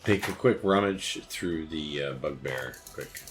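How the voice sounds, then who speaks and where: A man playing a tabletop game, planning moves with halting speech. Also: very deep voice, fairly bright tone. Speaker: player. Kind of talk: casual tabletop game chat.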